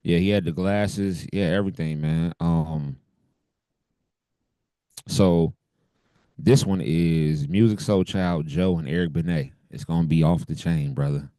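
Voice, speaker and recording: Deep-voiced, hoarse man in laid-back chat. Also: steady tone, measured pace, long pauses, warm tone, dry recording. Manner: laid-back, laughs often, loose slang. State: hoarse from a cold. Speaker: podcast co-host. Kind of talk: casual chat.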